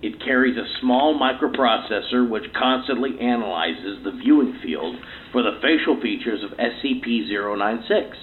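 An actor playing a proud, defensive research scientist answering questions in a curt, self-assured manner in an audio play. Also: male voice, mid-range voice, animated, normal speed, natural voice. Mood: proud, dry. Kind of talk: audio play interview acting. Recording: tinny phone-like recording, faint noise.

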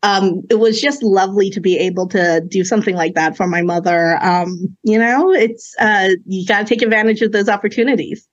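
A woman in her thirties, Cantonese her first language, talks through her path as a podcast guest. She pauses often, circling her thoughts with um and you know. The sound is slightly dull and lo-fi. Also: mid-range voice. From China. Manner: reflective, many fillers and restarts. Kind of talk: conversational interview.

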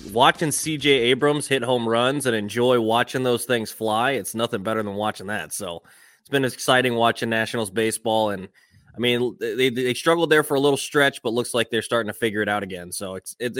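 A man with a medium-pitched voice co-hosts a sports podcast. He talks through his analysis in an easy conversational style. The recording is dry, with no room sound. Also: brisk, a bit bright, dry recording.

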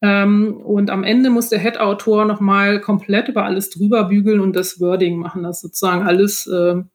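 A woman with a German accent speaks as an interview guest. Her pitch is average for a woman. She explains her craft as an author in an informal, conversational manner. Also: level tone, moderate pace. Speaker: guest, author of novels and audio dramas.

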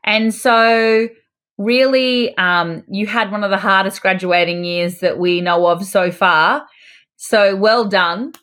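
A woman's voice: medium pitch, takes it slowly, fairly bright tone. An Australian woman chatting casually as a podcast co-host. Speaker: podcast co-host, dental hygienist and educator.

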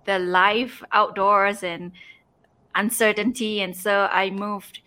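A young woman from Singapore, interviewed as a travel blogger guest. Her voice is bright and clear, and her tone is easygoing. She fills her talk with "um" and "like" as she goes. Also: mid-range voice, slow. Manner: relaxed, with many fillers like "um" and "like". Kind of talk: casual interview chat.

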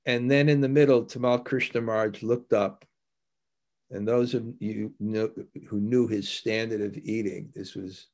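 Gravelly-voiced man telling devotional stories. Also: fairly low pitch, fairly even intonation, a little slow, darkish tone. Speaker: devotional teacher giving a religious talk. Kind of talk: anecdotal spiritual discourse, storytelling between scripture readings.

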